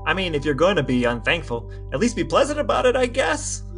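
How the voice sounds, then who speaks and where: Higher-pitched, dry man. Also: huge pitch swings, brisk, vocal fry, soft background music. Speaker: podcast co-host. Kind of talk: casual banter, partly reading texts aloud.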